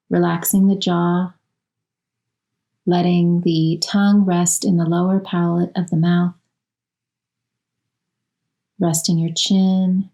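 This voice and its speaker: A woman leading a guided relaxation as a yoga nidra instructor, speaking soothingly in short, unhurried phrases with long pauses. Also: lowish voice, mellow.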